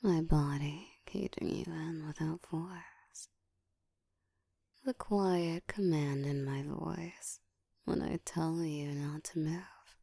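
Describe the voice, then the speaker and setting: A woman playing a seductive, domineering ancient vampire in an intimate ASMR roleplay, speaking in a slow, breathy whisper with a teasing, commanding manner, close to the mic. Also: deep voice, fairly even intonation, mellow, no echo, exaggerated voice. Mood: dark, domineering. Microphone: close microphone.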